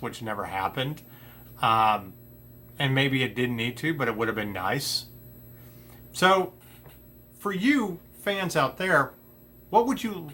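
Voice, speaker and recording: A man hosting an entertainment show, talking casually to his audience in a halting, conversational way, often repeating phrases. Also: mid-range voice, bright, forceful tone. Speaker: host of an entertainment news show. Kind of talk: casual opinion talk to the audience.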